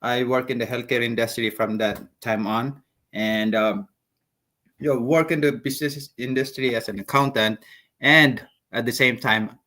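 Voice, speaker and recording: A man's voice: mid-range voice, fairly even intonation, normal speed, bright, forceful tone. Easygoing Filipino man telling his story. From Philippines. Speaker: guest. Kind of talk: casual interview.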